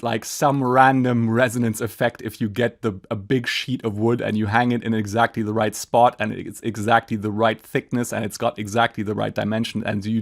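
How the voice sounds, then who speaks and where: German-accented man explaining room acoustics. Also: on the low side. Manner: enthusiastic, gesturing, frequent fillers and restarts. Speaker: acoustics educator answering viewer comments. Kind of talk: casual explanatory talk. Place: home studio room with acoustic treatment, indoors. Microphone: studio microphone on a stand in front.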